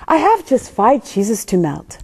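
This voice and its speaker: A woman voicing a cheerful, unhurried old grandmother in a children's storybook, politely and brightly putting off a visitor. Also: fairly high pitch, very animated, slightly rough, heavily acted. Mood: cheerful, stalling. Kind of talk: children's storybook read-aloud.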